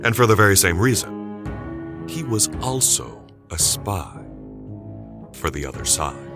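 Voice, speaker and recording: A male narrator with a deep voice tells a scripted story dramatically. His intonation is animated, and soft music plays behind him. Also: takes it slowly, gravelly.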